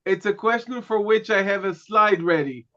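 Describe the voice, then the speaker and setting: A man teaching a class, a native Hebrew speaker who lectures in a relaxed way, joking and often checking in with "okay" and "right". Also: high-pitched, normal speed, muffled recording, slight background noise.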